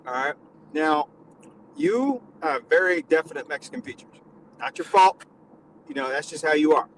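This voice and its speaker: A man's voice: fairly high pitch, lively intonation, normal speed, a little hiss or hum, low-quality recording. A man hosting a live stream, teasing his guest in crude, casual banter. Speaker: stream host.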